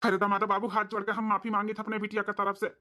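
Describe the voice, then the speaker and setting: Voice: medium pitch, completely flat delivery, fast, sharp tone, close to an everyday voice. A performer voicing a stern village father in an Awadhi cartoon comedy, lecturing reproachfully with an Awadhi accent. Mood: pleading. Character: stern village father, apologetic to in-laws, scolding his daughter.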